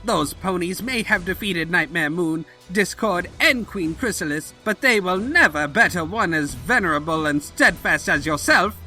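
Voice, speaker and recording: An actor playing an elderly, loyal pony chancellor who is fretful, formal and obsequious, in comedic audio drama. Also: male voice, high voice, animated, normal speed, hardly any pauses, pushed and bright, soft background music, heavily acted, poor audio. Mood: admiring.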